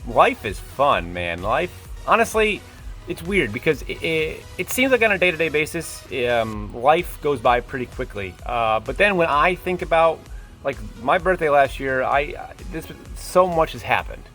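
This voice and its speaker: A man's voice: on the high side, very animated, measured pace, pushed and bright, soft background music. Rambling, casual man in his late twenties. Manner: rambling, self-deprecating, tangential. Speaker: YouTube creator and host. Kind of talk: casual rambling vlog monologue.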